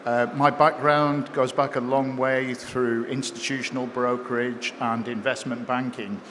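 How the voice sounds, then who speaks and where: A man, likely middle-aged, introducing himself as a CEO on an expo panel in a measured, polite way. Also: medium pitch, little pitch movement, a bit bright. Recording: reverberant hall with faint noise.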